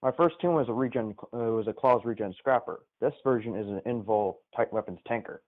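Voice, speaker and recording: A tinny, narrow phone-like line carries a man of medium pitch. He is a caller on a gaming stream, talking casually and looking back on his play. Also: a little fast. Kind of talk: casual chat, reminiscing about gaming. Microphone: live call into the stream. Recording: tinny, band-limited phone-like call.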